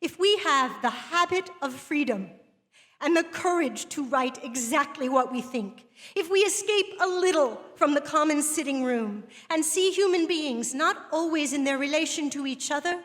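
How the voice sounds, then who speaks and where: A woman playing an earnest woman writer who delivers a literary lecture in measured, rhetorical phrases. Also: high-pitched, animated, fairly bright tone. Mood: impassioned, resolute. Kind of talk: dramatic reading of a literary lecture. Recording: long hall reverb.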